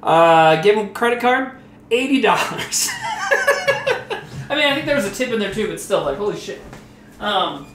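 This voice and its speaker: High-voiced man in his forties, roomy echo. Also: big pitch swings, normal speed, pauses often, a bit bright. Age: 40s. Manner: loose, chatty storytelling with laughs and swearing. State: a little tipsy from rum. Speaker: comedian streaming while cooking. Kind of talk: casual rambling chat. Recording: echoey room with faint background noise.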